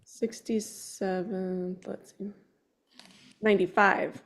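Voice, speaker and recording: A woman with a medium-pitched voice takes part in a relaxed group discussion. She talks casually about films in a conversational style. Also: slow pace. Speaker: table read participant.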